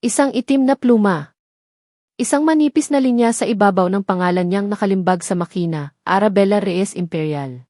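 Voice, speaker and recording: A woman's voice: mid-range voice, a little mellow, dry recording. Solemn woman narrating, close dry sound. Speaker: story narrator. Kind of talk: scripted dramatic narration of a romance story.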